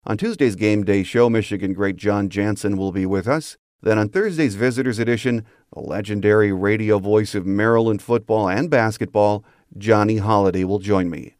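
A man's voice: on the low side, moderate pace, a little mellow, dry recording, studio quality. A man hosting a sports podcast, delivering scripted show notes and sign-offs. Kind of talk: scripted sports show narration and interview wrap-up.

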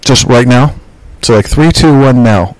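A man's voice: medium pitch, a little slow, dry recording, poor audio. A man co-hosting a casual podcast, teasing his friend and joking through mock-announcer intros into a studio mic. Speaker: podcast co-host. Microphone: podcast mic through a mixer board.

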